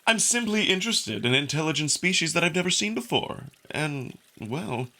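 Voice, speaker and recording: A man's voice: on the high side, sing-song, moderate pace, bright, forceful tone, voice pushed up, heavily acted. A man voicing a suave, smugly curious male jackal alien in a visual novel, with polite, needling, unruffled delivery. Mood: mock apologetic. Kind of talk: visual novel character acting.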